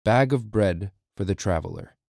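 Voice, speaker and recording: A man's voice: lowish voice, normal speed, creaky, a little mellow, dry recording, clean studio sound. A man voicing a kind and timid cook who speaks plainly.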